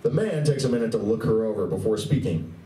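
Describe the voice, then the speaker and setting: A man's voice: on the low side, expressive, fast talker, warm tone, a little hiss or hum, close to an everyday voice. A man reading scripted narration for a live radio play, recorded at a distance in an echoey room. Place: large indoor room at a convention.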